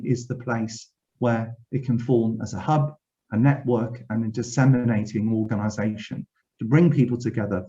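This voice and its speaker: A man's voice: mid-range voice, mellow, dull audio. A man from Wales with a Welsh accent, giving an earnest, reflective webinar address as a university director general, often restarting his phrases. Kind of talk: formal speech. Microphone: video call.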